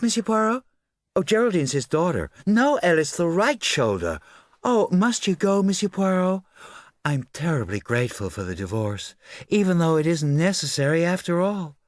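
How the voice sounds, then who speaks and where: A man voicing a vain, self-absorbed glamorous actress in an audiobook, with a husky, breathy, gushing drawl. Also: a little high, big pitch swings, moderate pace, no room sound, studio quality, pitched above the performer's own voice. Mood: careless, then gushing, airy. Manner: gushing, childlike, languid drawl.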